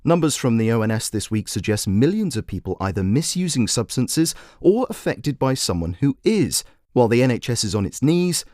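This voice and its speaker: A man voicing a brisk female radio phone-in host who questions and persuades in a measured way. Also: medium pitch, darkish tone, dry recording, studio quality, close to an everyday voice. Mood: brisk, professional. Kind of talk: talk radio presenting.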